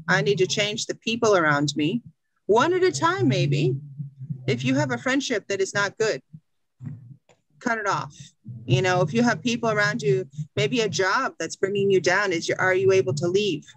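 A middle-aged American woman teaching an English class over a video call, speaking slowly and encouragingly from a small room at home. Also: medium pitch, no echo, poor audio. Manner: slow, encouraging, reads a passage aloud. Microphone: video call webcam.

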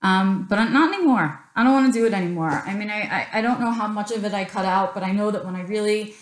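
A woman's voice: medium pitch, fast. A woman in her thirties from Queens with a New York accent, talking candidly to camera in an unscripted vlog, with many ums and a passionate streak. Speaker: vlogger. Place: small room indoors, plain backdrop. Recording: roomy echo.

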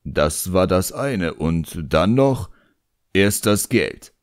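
A man's voice: low-pitched, lively intonation, takes it slowly, no echo, clean studio sound. A man voicing a cheeky, put-upon old imp servant who grumbles and stalls in slang in an audiobook. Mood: evasive, wry.

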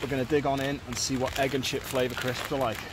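A man's voice: mid-range voice, little pitch movement, fast talker. A British man in his thirties chatting casually to camera as he records a hiking vlog outdoors on a phone. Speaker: hiking vlogger. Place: outdoors on woodland and open windy fells. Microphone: phone at arm's length. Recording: phone audio with loud wind noise. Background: wind buffeting the mic.

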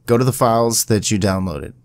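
A man presenting a casual step-by-step software tutorial in an informal, instructional way. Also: on the low side, a little fast, noisy background.

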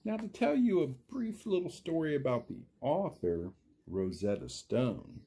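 A man voicing a friendly cartoon dinosaur who reads a children's storybook theatrically, husky, drawing out words and putting questions to young listeners. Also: lowish voice, dark tone, exaggerated voice. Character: friendly green dinosaur puppet, animated children's storytime reader. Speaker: storytime reader for a school class. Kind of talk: children's storybook read-aloud with asides.

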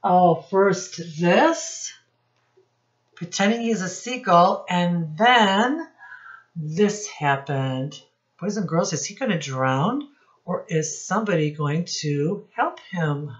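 Unhurried, lowish-voiced woman reading to children. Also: animated, dull audio. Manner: instructive, addresses children directly, pauses to point things out. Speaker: early childhood teacher reading aloud. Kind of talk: children's storybook read-aloud with questions to young viewers. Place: small room at home, indoors.

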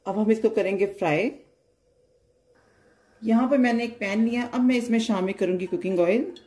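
A woman hosting a cooking channel, giving calm, plain step-by-step recipe instructions over her cooking. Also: medium pitch, moderate pace.

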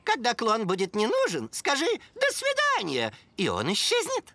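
Cartoon fox villain boy: high, theatrical, gloating, extremely animated, falsely kind schemer. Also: normal speed, dry recording, full character voice. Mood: falsely sweet. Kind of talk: children's cartoon acting.

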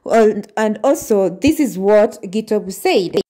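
A woman's voice: mid-range voice, expressive, a little slow, warm tone. A woman narrating celebrity gossip as a channel host, reading posts aloud with frequent fillers and restarts. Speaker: entertainment news narrator.

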